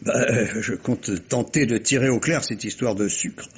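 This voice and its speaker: A man's voice: mid-range voice, a little slow, slightly rough. A man playing a patient, methodical police inspector in 1950s French radio mystery style, probing and sometimes hesitant. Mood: cautious, explanatory. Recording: muffled vintage radio drama recording.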